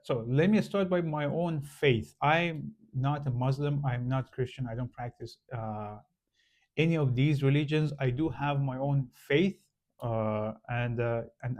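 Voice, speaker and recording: Guy w persian accent, farsi native, talks slow n hesitant, lots of uh and um, average pitch. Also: a little mellow, muffled recording. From Iran. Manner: hesitant, many fillers and restarts. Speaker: channel host. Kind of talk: casual explanatory monologue.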